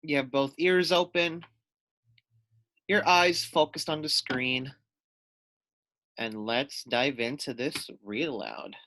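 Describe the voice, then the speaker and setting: A man reading a storybook aloud for children in an expressive, deliberate storytelling manner. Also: mid-range voice, rough recording. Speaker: storybook reader, teacher-like.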